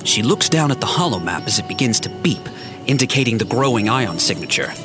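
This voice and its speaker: Man narrating over clear music. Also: medium pitch, very animated, brisk. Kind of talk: scripted audio drama narration.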